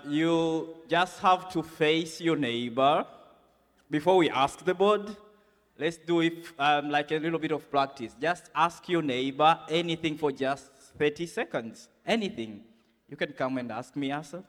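A man's voice: fairly high pitch, measured pace, a bit bright. A man moderating a conference session, upbeat and full of fillers as he coaxes the crowd, on a handheld mic in a large hall. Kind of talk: casual event moderating.

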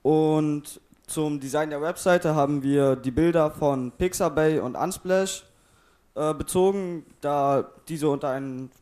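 A man presenting where his team got its data and images, steady with small fillers. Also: fairly high pitch, takes it slowly. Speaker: student presenter. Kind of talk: project presentation.

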